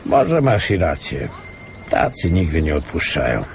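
An actor playing a world-weary, sardonic investigator in a radio play, wry and offhand, with small grunts. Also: male voice, extremely low pitch, very animated, measured pace, creaky, a little hiss or hum, heavily acted. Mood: wry, teasing. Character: sardonic, world-weary male veteran investigator advising younger detectives.

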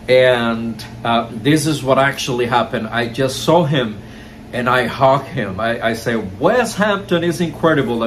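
A young man, speaking at a middling pitch to a nearby camera from a couch indoors. He tells his story eagerly and showers praise on the people he met. A steady hiss of noise sits under his voice. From Cuba. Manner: effusive, enthusiastic, heaps praise. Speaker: show host. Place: indoor room, seated on a couch.

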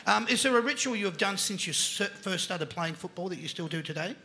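Cheery Australian host with gravelly high voice. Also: male voice, lively intonation, brisk, sharp tone. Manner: cheery, joking, quizzing guests. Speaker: panel show host. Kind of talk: live panel show hosting. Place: indoor venue stage with a live crowd. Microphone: handheld mic.

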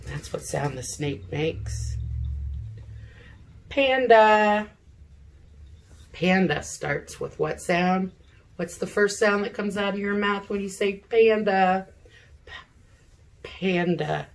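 Middle aged lady teacher doing a reading lesson for little kids, super slow n careful, creaky voice. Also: mid-range voice, low-quality recording. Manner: slow, deliberate, sounding out words and asking questions. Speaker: elementary school teacher. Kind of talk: read-aloud reading lesson for young children. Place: living room at home, seated in an armchair.

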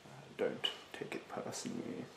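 A young man playing a rude, snobbish, fastidious facial therapist in a soft, half-whispered ASMR roleplay, haughtily polite and hesitant. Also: roomy sound, heavy background noise, natural voice. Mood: fussy, instructive. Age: young adult. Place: bedroom, indoors. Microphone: close mic in front.

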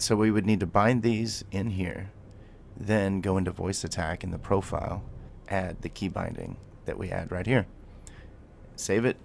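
Deep voiced guy walking thru a space game, casual talk plus clipped commands, game noise behind. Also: gravelly. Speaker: tutorial host. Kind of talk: gameplay tutorial commentary.

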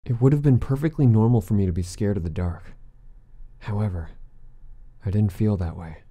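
A man's voice: lowish voice, moderate pace, warm tone, breathy edge. A man playing an earnest, guilt-ridden teenage boy, narrating solemnly and introspectively in a visual novel fan dub. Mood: somber.

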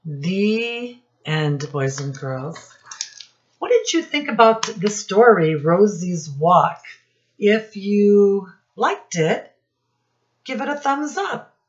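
A woman's voice: medium pitch, sing-song. A middle-aged woman reading a picture book aloud to young children as a lesson, prompting them with questions and drawing out key words. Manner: instructive, prompting listeners with questions, drawn-out emphasis on key words. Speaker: children's storytime teacher and reader. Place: small room indoors. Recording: dull, lo-fi webcam sound.

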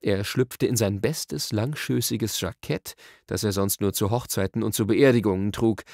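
A man reading a children's story as an expressive, scripted audiobook narration. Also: medium pitch, vocal fry, no room sound, studio quality.

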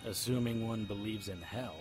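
A man's voice: lowish voice, moderate pace, music in the background, natural voice, low-quality recording. A man playing a skeptical, sardonic man in a radio drama.

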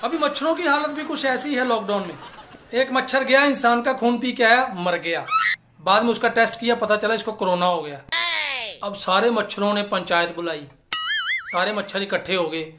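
A man in his thirties doing a stand-up comedy monologue to camera in a small room, animated and switching into voices. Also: high-pitched, over music. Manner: animated, gesturing, quick shifts into character voices.

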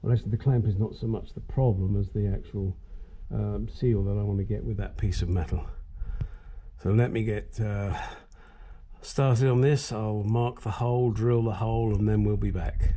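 Guy under his car in the garage talking you thru the fix, mellow voice, cussing n joking, action cam sound. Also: fairly low pitch, fairly even intonation, moderate pace. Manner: chatty, swears, cracks crude jokes. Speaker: DIY car mechanic. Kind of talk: casual how-to narration while working.

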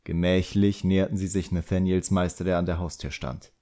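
Male audiobook narrator, low register, for scripted fantasy storytelling. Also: flat delivery, brisk, dark tone.

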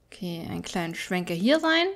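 A woman's voice: fairly low pitch, very animated, fairly quick. A woman giving casual, chatty gameplay commentary, thinking aloud as she plays. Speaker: let's player.

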